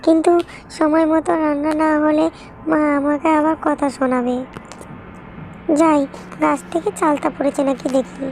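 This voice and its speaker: A woman's voice: high-pitched, a little mellow, a little hiss or hum, rough recording. A woman voicing a meek, mistreated young village wife in a melodramatic cartoon.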